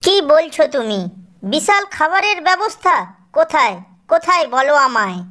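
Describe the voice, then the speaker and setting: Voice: very high voice, big pitch swings, moderate pace, bright, forceful tone, pitched above the performer's own voice, full character voice. A performer voicing a hungry, foolish cartoon fox who boasts and makes threats. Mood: eager, suspicious. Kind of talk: children's cartoon character voice.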